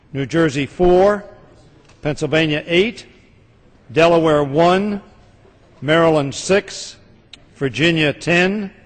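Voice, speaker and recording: An American congressman reading a legal text aloud formally in a large chamber. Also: fairly high pitch. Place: large indoor legislative chamber. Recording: reverberant legislative chamber.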